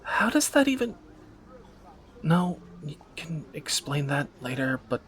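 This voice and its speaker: A man playing a teasing, protective captor turned lover in a romantic fantasy audio roleplay, bantering and soft-spoken. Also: on the high side, very animated, a little slow, a little hiss or hum. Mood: teasing, curious.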